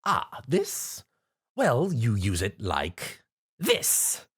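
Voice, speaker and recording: A man voicing a smug, chaotic male spirit who talks in a sly, drawn-out, gloating way. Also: mid-range voice, wildly swooping pitch, breathy edge, full character voice.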